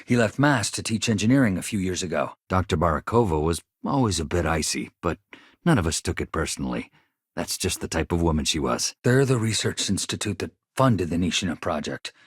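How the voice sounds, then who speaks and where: A man's voice: deep voice, animated, brisk, slightly rough, dry recording, clean studio sound. An actor playing an earnest, anxious male spaceship engineer in a video game, polite and hesitant in manner. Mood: reflective, explaining. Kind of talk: game dialogue, companion voice lines.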